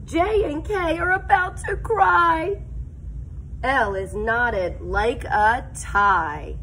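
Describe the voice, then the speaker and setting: Female elementary teacher, higher-pitched and unhurried, expressive read-aloud for little kids, prompting them to join in. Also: a little hiss or hum. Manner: expressive, deliberate, sing-song, prompts listeners to join in. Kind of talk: animated picture-book read-aloud for young children. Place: school classroom, indoors.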